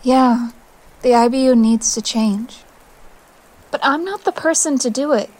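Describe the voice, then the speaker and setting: A young woman exile with a high voice, earnest and tender yet firm. She speaks with open feeling, in the manner of audio drama. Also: unhurried, a little mellow, rough recording. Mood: regretful.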